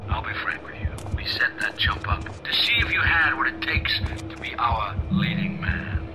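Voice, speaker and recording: An actor playing a sadistic snuff-film director in a video game, coaxing and menacing in a theatrical way. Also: male voice, mid-range voice, animated, moderate pace, music in the background, close to an everyday voice. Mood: gleeful, sly. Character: sadistic male snuff-film director ordering a captive around.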